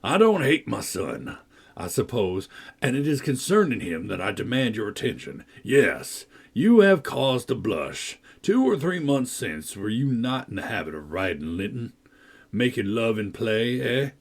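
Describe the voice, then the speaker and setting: A man reading a novel aloud, voicing a deep-voiced, menacing villain who sneers, coaxes and threatens. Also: sing-song, unhurried, vocal fry, slightly rough, heavily acted. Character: menacing, brooding older man, a manipulative villain.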